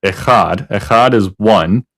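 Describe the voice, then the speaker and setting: A man's voice: slow, poor audio. A man co-hosting a live reaction stream, talking casually and acting out his opponents' replies. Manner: animated, mimics opponents in a higher voice.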